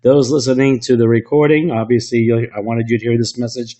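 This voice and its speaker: A man with a slightly rough voice from illness, presenting an online webinar into a computer mic in a salesy way with frequent restarts. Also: medium pitch, level tone, fairly quick, warm tone. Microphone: computer microphone.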